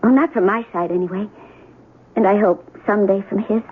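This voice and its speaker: An actress in an old-time radio drama playing a devoted, tender military nurse with an earnest, soothing manner. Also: female voice, mid-range voice, brisk, a little hiss or hum, close to an everyday voice. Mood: tender, yearning. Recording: tinny narrow-band old radio recording.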